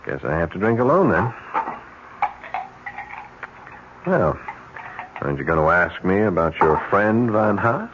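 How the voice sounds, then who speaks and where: An actor playing a cynical, smooth-talking schemer in a 1970s radio drama, breezy and insistently persuasive. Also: male voice, low-pitched, very animated, normal speed. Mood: breezy, hospitable. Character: cynical, smooth-talking male schemer tempting others toward corruption. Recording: dull, slightly noisy radio broadcast recording.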